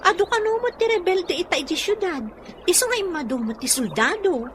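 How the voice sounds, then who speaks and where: A woman's voice: very high-pitched, very animated, a little fast, a little hiss or hum. An actress in a radio drama playing a cautious, reluctant young rural woman with a Filipino accent. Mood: doubtful.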